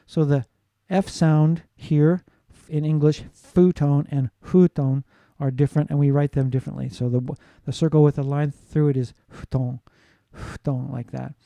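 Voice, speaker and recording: Dark-voiced man teaching a class. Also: fairly high pitch, steady tone, normal speed, creaky, hardly any pauses, dry recording. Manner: explanatory, often checks in with "right?". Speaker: English teacher. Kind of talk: classroom lecture.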